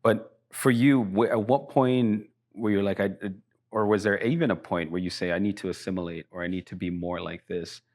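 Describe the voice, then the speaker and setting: A man with a fairly low voice hosts a podcast interview. He asks curious questions, stumbling over and repeating the first words before finding his phrasing. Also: steady tone, moderate pace, a little mellow. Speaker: podcast host. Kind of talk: conversational interview.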